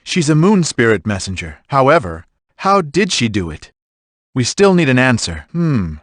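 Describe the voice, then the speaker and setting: A man acts out a lordly military leader from a fantasy video game. His middle-pitched voice moves widely as he weighs each matter with gravity. The sound is close and dry. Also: very animated, moderate pace, no room sound, close to an everyday voice. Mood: pondering. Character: dignified male lord and army commander, deliberating gravely. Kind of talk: scripted video game voice acting.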